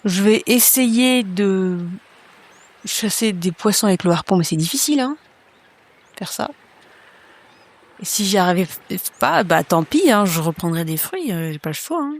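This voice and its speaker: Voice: mid-range voice, lively intonation, unhurried, pauses often. A player in a tabletop horror game, saying what their character does in a tentative way and thinking aloud. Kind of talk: casual play talk, declaring actions.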